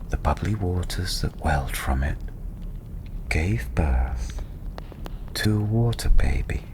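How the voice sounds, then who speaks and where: A man playing a welcoming fantasy tavern storyteller, speaking softly and soothingly in a gentle, half-whispered ASMR style over clear fireside ambience. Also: low voice, exaggerated voice. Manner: hushed, gentle, unhurried, often half-whispered. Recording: clearly audible ambient background noise.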